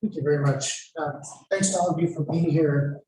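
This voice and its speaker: Hesitant higher-voiced man moderating, echoey. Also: steady tone, a little fast, warm tone. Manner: hesitant, searching for words. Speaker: panel moderator. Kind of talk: introducing a panel discussion. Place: echoey event room at a public panel. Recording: echoey room, distant mic, faint noise.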